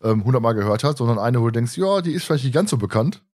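A man's voice: medium pitch, expressive, fast talker, a little mellow. A man hosting a podcast in casual chat, with a colloquial, jokey way of talking. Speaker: podcast host.

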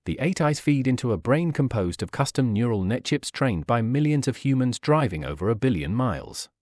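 A man reading a scripted narration on technology in an even, informative way. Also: medium pitch, no echo, clean studio sound. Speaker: channel narrator.

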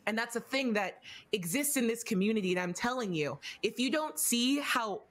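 A young woman speaking in a measured, pointed way, building her argument step by step as a panelist, on a headset at home. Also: fairly high pitch, expressive, fairly bright tone. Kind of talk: impassioned roundtable discussion. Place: home room, indoors.

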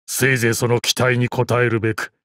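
A proud old fighting master from a video game, acted by a man, speaks in a medium-pitched voice with gruff dignity. His phrasing is archaic and dryly amused. The sound is dry, with no room around it. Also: level tone, brisk, a bit bright, no room sound, lightly acted. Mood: humble, resolute. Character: proud, battle-hardened old martial arts master assassin. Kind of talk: Japanese mobile game character voice lines.

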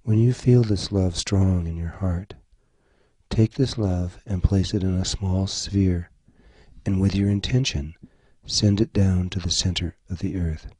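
A man leading a guided meditation, giving gentle, soothing instructions in short phrases. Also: low voice, breathy edge, no echo. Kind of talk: slow guided meditation narration.

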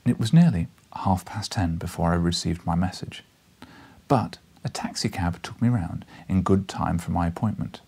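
A man's voice: deep voice, normal speed, gravelly, darkish tone, slight background noise. A man in his thirties reading a literary story expressively as an audiobook narrator. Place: small book-lined living room, indoors.

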